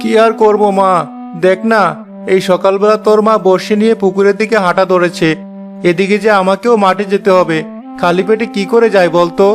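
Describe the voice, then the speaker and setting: A man's voice: high-pitched, fairly even intonation, fairly quick, faint music behind, lightly acted. A man voicing a mild, long-suffering village farmer husband in a cartoon, with a patient, plaintive manner. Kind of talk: Bengali cartoon dialogue.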